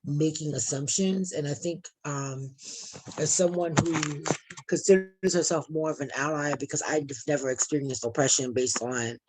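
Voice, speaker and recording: A woman with a deep voice, a participant sharing a personal experience in a group discussion, talking in a thoughtful, searching way with many restarts. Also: moderate pace, background noise. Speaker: workshop participant.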